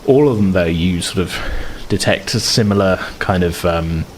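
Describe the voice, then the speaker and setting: A man's voice: low-pitched, moderate pace, a little hiss or hum. A man improvising narration as a game master, explaining things in a hesitant, rambling way.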